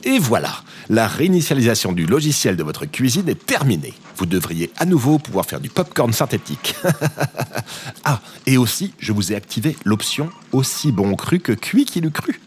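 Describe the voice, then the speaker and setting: A man's voice: on the low side, normal speed. A man playing an exuberant, naive former president turned happy phone operator in a comic audio drama, gushing theatrically. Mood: cheerful, helpful. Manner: gushing, theatrical, wildly animated. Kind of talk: audio drama comedy acting. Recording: faint background noise.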